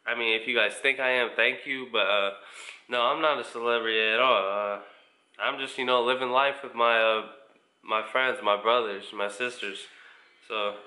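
Young American man, very bright voice. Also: fairly low pitch. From United States, mostly Indiana. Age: around 20. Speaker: vlogger answering viewer questions. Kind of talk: casual question-and-answer chat to camera. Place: small living room at home, indoors.

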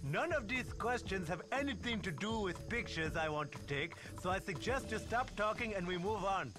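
A bossy cartoon lemur monarch. The voice sits high and swings theatrically from line to line, full of grand self-regard, with game music underneath. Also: male voice, expressive, normal speed. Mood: bossy, self-important. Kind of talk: animated video game acting.

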